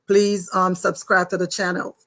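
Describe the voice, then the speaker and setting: A woman's voice: fairly low pitch, level tone, moderate pace, a bit bright. A woman with a Jamaican accent, speaking as the host of an online talk show.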